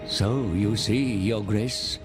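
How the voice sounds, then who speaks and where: A man's voice: fairly low pitch, takes it slowly, music in the background. A man playing a grim, zealous adviser, speaking with grave, ominous deliberation in a dramatic game cutscene. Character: grim zealous male adviser narrating a war tale.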